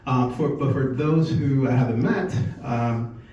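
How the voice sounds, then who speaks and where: Echoey, mellow man telling his story. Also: mid-range voice, measured pace, recorded from a distance. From Puerto Rico. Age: 40s. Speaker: speaker at a company sales meeting. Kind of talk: informal presentation, personal storytelling. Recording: echoey room from afar, muffled, faint noise.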